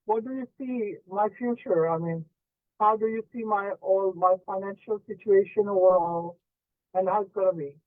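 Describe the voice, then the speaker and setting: A man in his fifties, from Pakistan, calls in to a radio show and asks politely about his future, often pausing on fillers. His voice sits very high for a man. The call comes through thin and phone-like. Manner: polite, hesitant, many fillers. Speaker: caller. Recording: tinny phone line.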